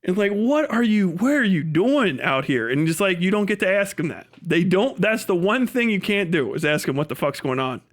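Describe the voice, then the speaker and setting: A man with an American accent and a somewhat high voice that swings widely in pitch. He rambles casually as a streamer, slangy and sweary, stretching his stories with exaggeration. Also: expressive, normal speed, hardly any pauses. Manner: breaks into laughter at own stories. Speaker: live streamer.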